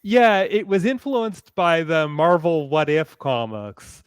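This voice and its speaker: Male panelist, slightly high clean voice, closely recorded and dry, thoughtful stop-and-start casual delivery. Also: takes it slowly, clean tone, no echo.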